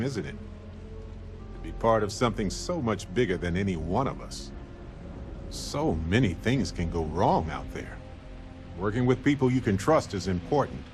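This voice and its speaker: An actor playing a genial, wry veteran space explorer in a video game, chatty and reflective. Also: male voice, mid-range voice, a little mellow, natural voice. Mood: warm, grateful. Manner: conversational, playful, reflective. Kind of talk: scripted video game dialogue.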